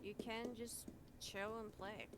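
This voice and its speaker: A woman's voice: a little high, fairly even intonation, takes it slowly, very noisy. A woman hosting a live gaming stream, chatting informally and playfully with friends, with some swearing. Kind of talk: casual gaming stream chat.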